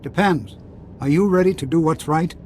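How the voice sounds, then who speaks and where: An actor playing an irascible, opinionated scientist in a video game, with a rough, blunt, scornful and emphatic delivery. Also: male voice, fairly high pitch, moderate pace, darkish tone, a little hiss or hum, natural voice. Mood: guarded gratitude. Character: irascible, opinionated male scientist, proud and jaded.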